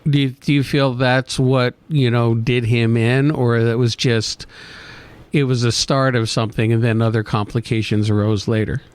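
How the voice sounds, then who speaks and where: A male radio host asking questions in a gentle, careful, tactful way. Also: mid-range voice, level tone, normal speed, clear, resonant tone. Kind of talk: interview questions.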